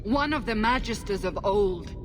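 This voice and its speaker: An actress playing a stern, sceptical female interrogator in a fantasy game, measured and pointed in manner. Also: medium pitch, lively intonation, lightly acted. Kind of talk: fantasy game cutscene acting. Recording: noisy background ambience.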